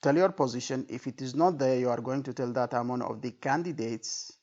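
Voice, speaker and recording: Creaky-voiced male tutor, dull recording. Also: mid-range voice, brisk, darkish tone. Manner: didactic, repetitive, checking understanding with frequent okay and right. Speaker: medical exam tutor. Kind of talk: informal spoken lecture with role-played example phrases.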